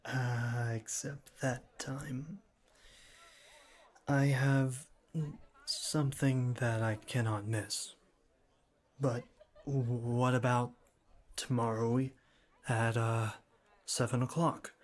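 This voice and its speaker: A man playing a shy, earnest young college student with a cool front, halting and formal, in a scripted ASMR roleplay. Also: mid-range voice, flat delivery. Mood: awkward, shy. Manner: halting, stammering, formal wordy phrasing.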